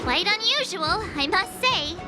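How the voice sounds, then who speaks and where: A woman voicing a chirpy, curious young girl adventurer in a video game, expressive and talkative. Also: extremely high pitch, measured pace. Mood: reflective, cheerful. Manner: bubbly, expressive. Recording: loud music behind the voice.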